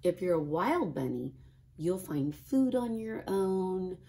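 Female kindergarten teacher, middle-aged, lowish voice with lively melody, reading and talking to young children. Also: takes it slowly, gravelly. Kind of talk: expressive children's storybook reading and chat. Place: small classroom, indoors.

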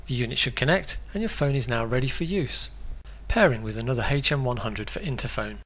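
A man reading a scripted instructional product guide in a clear, step-by-step way. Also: medium pitch, a bit bright.

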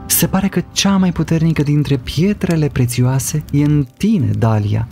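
A man voicing a wise, dreamy wizard storyteller in a solemn, measured manner for an animated fairy tale. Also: on the high side, creaky, soft background music.

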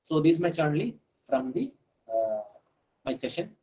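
A man in his thirties, a scientist giving an online lecture and answering questions, speaking haltingly with many fillers over a headset on a video call. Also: on the high side, very animated, mellow, low-quality recording. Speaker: fisheries scientist giving a webinar talk.

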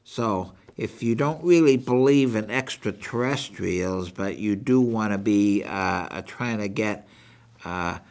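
An older man with a New England accent hosts a rambling book review in a halting, folksy way, repeating himself as he goes. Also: fairly low pitch, a little mellow. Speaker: show host. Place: small meeting room indoors.

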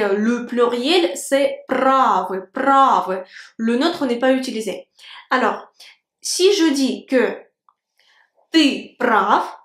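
Young woman with a Russian accent, high voice and unhurried pace, teaches a language lesson to camera. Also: echoey room. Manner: clear, slow enunciation of words with gestures. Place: indoor room with a plant. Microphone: camera at medium framing.

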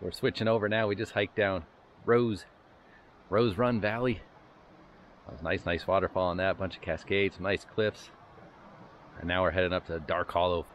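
A man's voice: deep voice, steady tone, moderate pace, pauses often, a little hiss or hum. A man narrating and commenting on a hike in a casual travel vlog style. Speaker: hiking vlogger and narrator. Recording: loud music behind the voice. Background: music with plucked guitar.

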